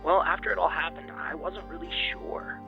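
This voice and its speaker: A man's voice: on the high side, normal speed, vocal fry, over music. A man playing a rattled young man confiding in his friends in an audio drama, speaking hesitantly over a muffled line. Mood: troubled recollection.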